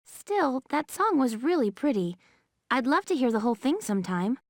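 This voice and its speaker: An actress playing a spirited, earnest young female soldier in a game, youthful and expressive. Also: high-pitched, moderate pace, dry recording, clean studio sound. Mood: admiring.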